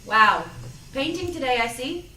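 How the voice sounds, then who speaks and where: A woman's voice: high voice, expressive, a little slow, sharp tone, distant mic, a little hiss or hum, full character voice. A woman playing an earnest, anxious young apprentice in an audio drama read-through, speaking naturally and conversationally. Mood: curious, practical. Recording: roomy, off-mic, music underneath.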